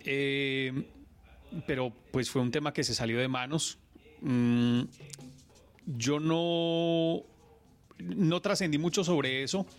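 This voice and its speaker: A Colombian man speaking on a radio interview, his voice sitting a little high for a man. He tells his stories earnestly and with animation, in the manner of a sports journalist.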